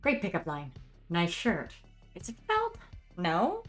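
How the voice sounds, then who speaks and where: A woman's voice: fairly low pitch, wildly swooping pitch, slow, fairly bright tone. A middle-aged woman hosting a dramatic reading of comments in a theatrical, sarcastic manner. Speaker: host. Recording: music playing behind the voice. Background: background music.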